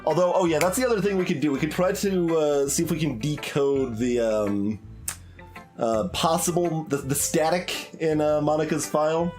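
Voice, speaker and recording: A man's voice: a little high, normal speed, a little mellow, soft background music. A man giving casual live commentary on a game playthrough, thinking aloud in a hesitant way. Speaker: gaming streamer.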